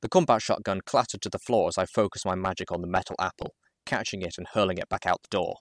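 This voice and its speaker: A man with a low voice narrates a story in the first person. His delivery is dramatic and measured, with deliberate pauses between phrases. The recording is dry, with very little room sound. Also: no room sound.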